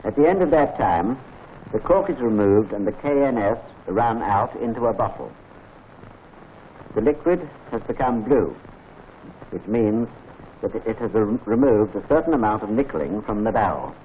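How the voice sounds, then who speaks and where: A man's voice: fairly low pitch, animated. Formal British man narrating a 1940s training film. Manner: measured, formal, instructive. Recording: tinny 1940s film soundtrack with faint hiss.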